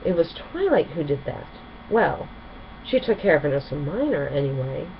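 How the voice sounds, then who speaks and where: A woman voicing a gentle, earnest young female pony, mildly protesting, in a children's storybook. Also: deep voice, sing-song, normal speed, dull audio, a little hiss or hum.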